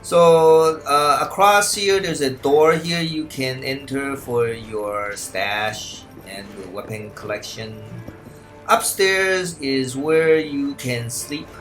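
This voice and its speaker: A man narrates a video game walkthrough off the cuff. His delivery is slow and halting, with a middling pitch. Faint music plays underneath his voice. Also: fairly bright tone. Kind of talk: unscripted walkthrough commentary.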